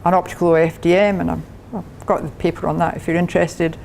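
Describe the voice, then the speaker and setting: A woman's voice: fairly low pitch, level tone, fast talker, vocal fry, a little mellow, slight background noise, poor audio. An older Scottish woman, a professor, giving a chatty technical talk to a club, laughing often and restarting her sentences. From Scotland. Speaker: guest speaker, professor.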